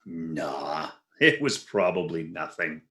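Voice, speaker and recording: Man playing animated, exasperated radio husband. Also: rumbling low, takes it slowly, heavily acted. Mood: wry, suspicious. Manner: sarcastic, huffy. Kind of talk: 1940s radio comedy acting. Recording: roomy, echoing recording.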